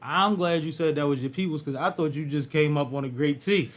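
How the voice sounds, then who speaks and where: A podcast host man with a Philadelphia accent who talks quickly and casually. His voice is a bit higher than most men's, and he slips his questions into easy back-and-forth chat.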